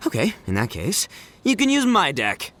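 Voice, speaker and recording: An actor playing a brash, cocky young gang boss who talks in a boastful, showy way. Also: male voice, a little high, huge pitch swings, normal speed, noisy background.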